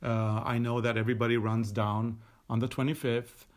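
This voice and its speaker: Middle-aged Swedish-born man, native Swedish speaker, mid-pitched, casual explainer with lots of fillers. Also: little pitch movement, normal speed. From Sweden. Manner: chatty, explanatory, with frequent fillers and restarts. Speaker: podcast co-host. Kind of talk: casual chat while knitting. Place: indoors at a table.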